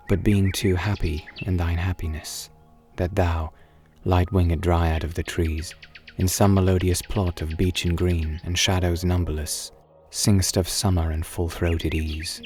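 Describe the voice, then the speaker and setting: A man with a low, deep voice reads romantic poetry aloud. His delivery is very unhurried, solemn and wistful, with drawn-out, dramatic phrasing. Soft music and birdsong play behind the reading. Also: steady tone. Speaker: poetry reader and narrator.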